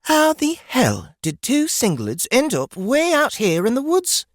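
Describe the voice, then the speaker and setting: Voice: high voice, huge pitch swings, measured pace, no room sound, clean studio sound, pitched above the performer's own voice, full character voice. A narrator voicing a brash, mocking, experienced woodswoman in a fantasy audiobook, blunt and amused. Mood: wry, blunt.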